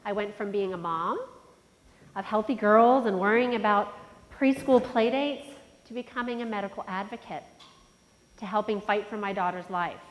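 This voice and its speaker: A woman's voice: mid-range voice, normal speed. A middle-aged woman with a nasal voice giving a motivational keynote speech, telling a personal story and talking straight to the audience. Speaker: conference keynote speaker. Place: large conference hall. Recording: long hall reverb. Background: long reverb of a large hall.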